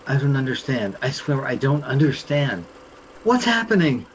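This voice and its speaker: A man's voice: mid-range voice, big pitch swings, moderate pace, a little hiss or hum, lightly acted, poor audio. A man playing an accused suburban husband, bewildered, defensive and indignant, in a radio play.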